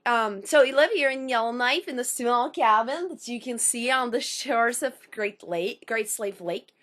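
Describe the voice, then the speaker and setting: A woman in her thirties with slightly unusual speech, at times taken for a foreign accent, introducing and performing a spoken word poem with careful phrasing and pauses. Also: on the high side, a bit bright. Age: 30s.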